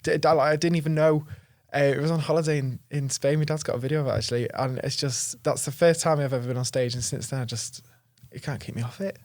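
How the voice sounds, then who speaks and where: The chatty young guy on a podcast sofa, rattling along with a gravelly fry, self-deprecating, recorded close and dry. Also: on the high side, fairly even intonation, fast talker, darkish tone, no room sound. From North Wales and Chester, England. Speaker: podcast guest, actor. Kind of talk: casual chat.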